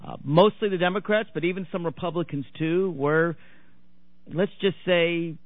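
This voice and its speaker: A man's voice: a little high, fairly even intonation, moderate pace, no echo. A man hosting a radio interview show, talking in a conversational, wry way. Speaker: radio talk show host. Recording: dry, phone-like narrow sound.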